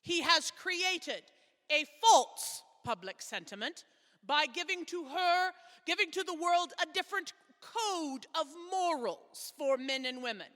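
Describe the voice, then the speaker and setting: A woman in a readers theater playing a resolute 1840s suffragist, declaiming solemnly and emphatically in short, halting phrases. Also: high-pitched, expressive, a bit bright, in a large hall.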